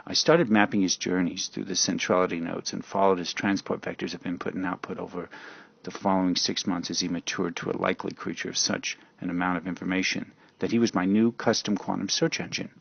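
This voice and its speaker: Male narrator for amateur radio drama: low, rough voice, brooding theatrical read, lo-fi sound. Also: little pitch movement, fairly quick, fairly bright tone. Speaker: storyteller narrator. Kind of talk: dramatic first-person fan fiction narration.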